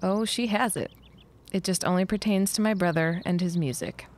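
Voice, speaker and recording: An actress as a young schoolteacher in a period audio drama, with a lowish voice. She sounds sensible and matter-of-fact, with a dry wit. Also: normal speed, a little mellow, close to an everyday voice. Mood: dry, sociable.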